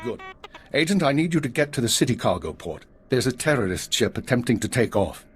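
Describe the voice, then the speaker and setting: A male actor playing a commanding, weary middle-aged intelligence chief in a video game, husky and brisk. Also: medium pitch, very animated, faint music behind, natural voice. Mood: stern, businesslike. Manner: brisk, authoritative.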